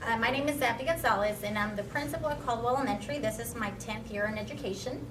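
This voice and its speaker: A woman's voice: a little high, quick pace, echoey room. A woman school principal from Mexico introducing herself on a panel, straightforward. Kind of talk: panel discussion. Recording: distant mic with hiss.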